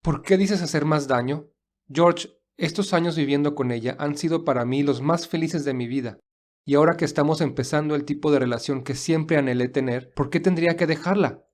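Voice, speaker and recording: A man playing an earnest, defensive young gentleman in love in an audio drama, speaking in a measured way. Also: a little high, natural voice.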